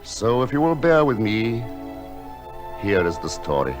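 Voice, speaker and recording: Deep-voiced, solitary lighthouse painter, gravely poetic. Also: male voice, sing-song, unhurried. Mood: grave, reflective. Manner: formal, poetic, earnest storytelling. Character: solitary, misshapen painter living in a lighthouse, gentle and wistful. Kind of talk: dramatic narration in an audio drama. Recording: music behind the voice.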